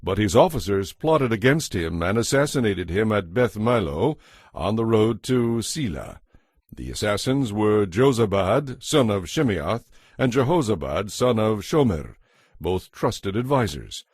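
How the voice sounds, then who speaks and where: A man giving a measured, formal scripted narration for a dramatized audio Bible, as narrator. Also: lowish voice, big pitch swings, a little mellow, slightly rough, no room sound, clean studio sound.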